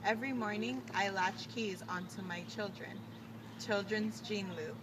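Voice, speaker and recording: A woman's voice: medium pitch, a little slow, lightly acted. A woman playing a dutiful 1940s wartime mother and mechanic, reciting earnestly in a mock radio interview. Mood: earnest, proud. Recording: distant mic with clear outdoor noise.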